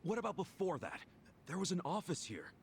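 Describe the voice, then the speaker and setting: Brash, animated yakuza ex-con. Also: male voice, high voice, fairly quick, gravelly, a little hiss or hum, full character voice. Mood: insistent, flustered. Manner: blunt, informal, animated. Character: brash, earnest ex-convict yakuza man, out of touch. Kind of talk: English video game dub acting.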